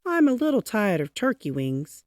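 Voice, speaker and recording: Woman reading as kindly, emphatic storybook gentleman. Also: medium pitch, big pitch swings, normal speed, dry recording, studio quality, voice pushed up, lightly acted. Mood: cheerful, obliging. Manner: polite, earnest, emphatic. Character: shy lonely man turned cheerful and kindly.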